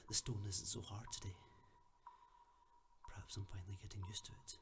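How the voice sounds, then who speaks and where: An actor playing an imprisoned keeper of a secretive order, weary, suspicious and desperate, in a radio drama. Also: male voice, on the low side, steady tone, normal speed, in a whisper, natural voice. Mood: weary, muttering.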